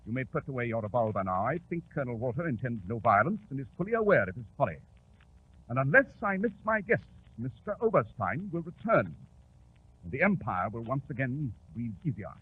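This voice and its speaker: A man playing a shrewd, commanding detective in 1940s radio drama, explaining with crisp authority. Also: medium pitch, very animated, fairly quick, close to an everyday voice. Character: shrewd, commanding English detective, coolly superior. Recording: tinny, noisy 1940s radio recording.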